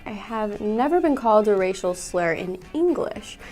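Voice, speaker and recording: A woman's voice: mid-range voice, animated, moderate pace, a little mellow, faint music behind. A young woman with a Midwestern American accent, chatting casually and playfully as she reads out a quiz, poking fun at herself. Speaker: vlogger. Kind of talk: casual chat while reading out a quiz.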